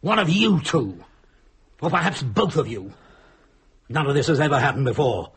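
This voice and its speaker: A man's voice: on the high side, very animated, normal speed, fairly bright tone, slightly rough, full character voice. An actor playing an agitated, obsessive man in a radio drama, earnest and insistent, repeating himself. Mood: accusing, agitated. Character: agitated, obsessive man convinced of supernatural cause. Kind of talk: dramatic radio play acting.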